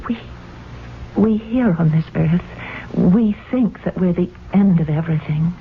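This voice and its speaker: A young woman, earnest and full of awe, in an old radio drama. Her mid-pitched voice moves slowly with dramatic pauses. The recording is thin and narrow, with a faint hiss. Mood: earnest, awed. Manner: dramatic, hushed, with deliberate pauses. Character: earnest, awestruck young daughter back from beyond. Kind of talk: 1970s radio drama acting. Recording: muffled, hissy 1970s radio recording.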